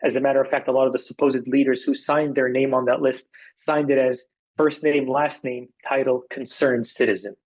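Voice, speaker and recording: A man from Arizona with an American accent, speaking as an interview guest, making political points in a measured, emphatic way with repetition. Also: medium pitch, steady tone, a little mellow, poor audio. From Arizona, United States.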